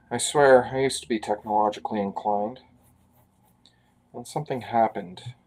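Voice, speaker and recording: A man's voice: on the low side, measured pace, darkish tone, poor audio. A man in his forties from Canada, chatting casually and rambling with self-deprecating asides while he draws on a live stream. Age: 40s. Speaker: artist live-streaming a drawing.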